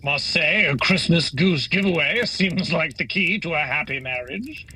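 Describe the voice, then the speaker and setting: A man's voice: fairly high pitch, animated, measured pace, sharp tone, slightly rough, heavily acted. A man playing a bumbling, greedy working-class husband in a radio play, overwrought and comically pompous. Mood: hopeful. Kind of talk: holiday radio play acting.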